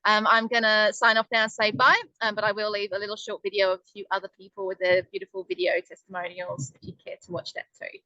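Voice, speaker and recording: A woman's voice: mid-range voice, little pitch movement, fast, hardly any pauses, pushed and bright, no room sound, lo-fi sound. A woman presenting a casual webinar in a chatty, upbeat way, with lots of ums. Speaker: online business presenter.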